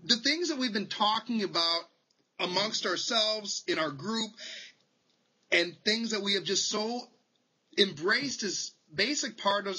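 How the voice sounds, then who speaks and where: A man's voice: high voice, lively intonation, a little slow, bright, forceful tone, husky, rough recording. A man on a late-night video call, chatting playfully and breaking into hammy announcer bursts. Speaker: social media speaker on a video call. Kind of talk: playful casual chat. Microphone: webcam on a video call.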